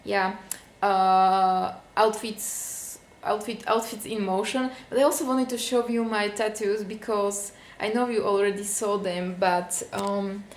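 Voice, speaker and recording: A woman's voice: medium pitch, fairly even intonation, unhurried, few pauses, echoey room. A young woman from Slovakia with a Slovak accent, venting casually to camera in a vlog, with many fillers. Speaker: vlogger.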